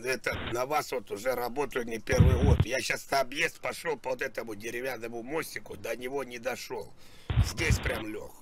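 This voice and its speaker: A man's voice: mid-range voice, fairly even intonation, normal speed, very noisy, natural voice. A man voicing a stubborn, rambling old truck driver in a sweary, pleading prank phone call. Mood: weary pleading. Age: older. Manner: rambling, sweary, muddled complaining. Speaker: prank caller.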